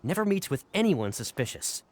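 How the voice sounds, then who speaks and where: A man's voice: fairly high pitch, sing-song, fairly quick, a little hiss or hum. An actor playing an eager young male informant in a video game, earnest and informal as he reports to his boss. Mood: earnest, puzzled. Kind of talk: scripted video game voice acting.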